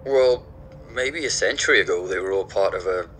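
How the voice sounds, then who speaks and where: A man's voice: deep voice, moderate pace, a bit bright, a little hiss or hum. An actor playing a casual, sceptical man in conversation with his colleague in an audio drama.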